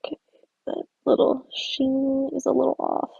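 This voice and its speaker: A woman's voice: sits high, completely flat delivery, normal speed, creaky, mellow, dry recording, lo-fi sound. A young woman from the American South, chatting casually on a livestream while reading out viewer comments. She rambles in a friendly way, uses many fillers and laughs easily. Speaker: stop-motion creator streaming.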